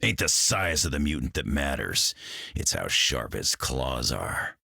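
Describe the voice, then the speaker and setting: A man voicing a tough, taunting clawed mutant fighter in a video game, with a gruff, growling delivery. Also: big pitch swings, measured pace, sharp tone, no room sound, clean studio sound, heavily acted. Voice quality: very deep, gravelly growl. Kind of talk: video game character dialogue.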